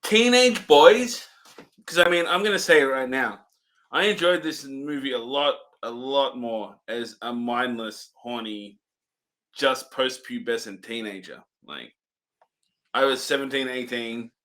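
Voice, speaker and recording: Laid-back podcast guy, bright sharp voice, chuckles a lot. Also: medium pitch, measured pace. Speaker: podcast co-host. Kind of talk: casual chat.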